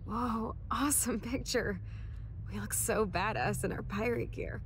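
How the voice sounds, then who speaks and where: An actress playing an ailing young American woman in a video game, soft and casual, sentimental and weary as she talks to a friend. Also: a little high, a little slow, slight background noise, close to an everyday voice. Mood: wistful, nostalgic. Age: young adult. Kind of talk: naturalistic video game cutscene acting.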